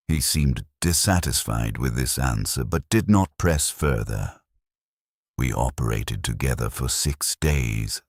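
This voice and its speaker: A man narrating a scripted first-person war story in short, measured sentences with a detached, solemn delivery. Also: rumbling low, a little mellow, dry recording, studio quality.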